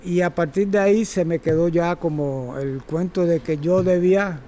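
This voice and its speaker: A man's voice: fairly high pitch, moderate pace, warm tone, a little hiss or hum. A Cuban man in his sixties giving an informal, rambling lecture to circus students, often correcting himself. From Cuba. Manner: rambling, self-correcting, chatty. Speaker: circus instructor.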